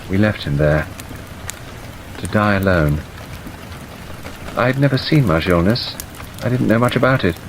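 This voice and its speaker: A man's voice: lowish voice, poor audio. A man narrating an audiobook in a scripted, dramatic storytelling style, as the narrator of a tale. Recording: steady rain noise over the voice. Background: rain ambience.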